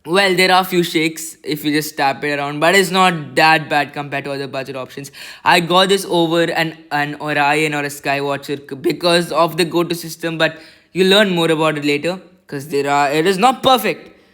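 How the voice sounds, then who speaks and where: Squeaky, rambling man reviewing a telescope. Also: normal speed, a bit bright, smooth. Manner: rambling, self-conscious. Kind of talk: casual voice-over product review. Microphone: laptop mic.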